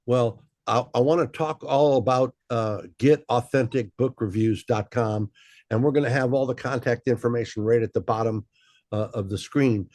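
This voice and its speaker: A man's voice: mid-range voice, normal speed, no echo, clean studio sound. A man hosting a casual interview, easygoing and loose with fillers. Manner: relaxed, many fillers and repeats. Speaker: host.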